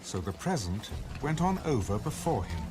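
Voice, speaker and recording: Guy narrating scripture like an audio drama, really expressive, music playing behind him. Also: on the low side, moderate pace. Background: background music.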